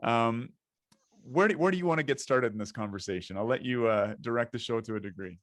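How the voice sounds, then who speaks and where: A man's voice: mid-range voice, brisk, dry recording. A man hosting a podcast interview, talking at length in a rambling, thinking-aloud way. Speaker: podcast host.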